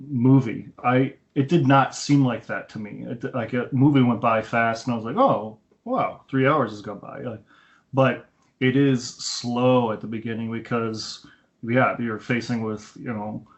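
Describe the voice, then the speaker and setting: A man co-hosting a casual film podcast over an internet call, rambling along in an informal, conversational way. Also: mid-range voice. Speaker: podcast co-host reviewing films. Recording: dull, lo-fi Skype call.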